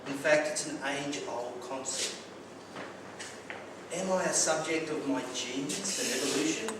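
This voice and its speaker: A man's voice: fairly high pitch, a little slow. A man from Australia with an Australian accent gives a prepared personal speech, telling stories with wry humour and some stumbling repeats. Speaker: club speaker. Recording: very echoey room, distant mic, clear background noise.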